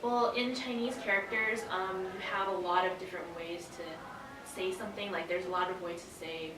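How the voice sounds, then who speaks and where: A woman's voice: mid-range voice, fairly even intonation, normal speed, a crowd in the background. A young woman giving casual, thoughtful interview answers with some hesitation in her phrasing. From Canada. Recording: echoey, distant microphone, noisy room.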